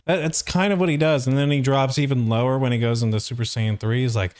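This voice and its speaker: Male reaction host, mid-pitched, casual and halting critique, thinking aloud, very dry close sound. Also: fairly even intonation, a little mellow, no room sound, soft background music. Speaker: reaction video host, voice actor.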